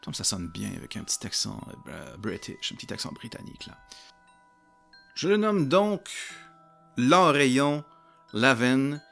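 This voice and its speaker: Lively Québécois man narrating a game. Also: medium pitch, measured pace, creaky, pauses often, faint music behind. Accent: Québécois French. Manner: animated, jokey storyteller who talks to his audience. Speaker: solo tabletop game player and narrator. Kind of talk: narrating a solo tabletop roleplaying game.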